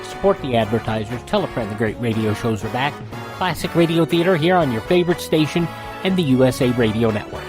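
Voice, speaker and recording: A man's voice: medium pitch, animated, a little fast, music in the background. A man hosting a radio show, announcing and chatting directly to listeners.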